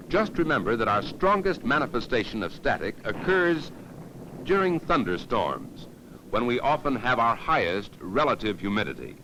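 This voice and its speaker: A man giving formal, measured, didactic narration in the scripted style of a 1950s educational safety film. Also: lowish voice, noisy background.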